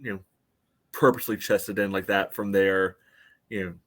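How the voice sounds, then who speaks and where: A man with a fairly low voice talks as a sports podcast co-host. He goes over a soccer match casually, qualifying his points in a conversational manner. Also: fairly even intonation, normal speed.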